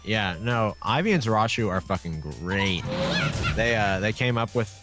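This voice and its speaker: A man's voice: lowish voice, lively intonation, moderate pace. A man co-hosting a let's play, chatting casually and jokingly with some swearing. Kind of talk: casual gaming chat. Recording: game music behind the voice. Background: video game music.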